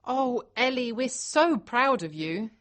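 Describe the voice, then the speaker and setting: Woman acting a high, animated, bossy young woman. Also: slow. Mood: excited, proud. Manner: urgent, emphatic, gushing. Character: excited, bossy, affectionate older female relative. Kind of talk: audiobook character dialogue.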